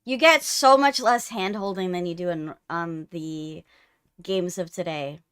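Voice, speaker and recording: A woman's voice: fairly low pitch, a little slow, fairly bright tone, smooth, no room sound. A woman hosting a livestream in casual chat, teasing her co-host playfully, close on the mic.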